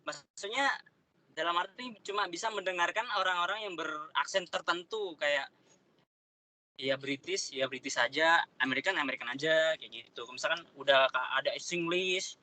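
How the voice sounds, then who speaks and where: Bright-voiced young man chatting casually. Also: a little high, normal speed, low-quality recording. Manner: relaxed, slangy, chatty. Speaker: interviewee, trader. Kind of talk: casual interview chat.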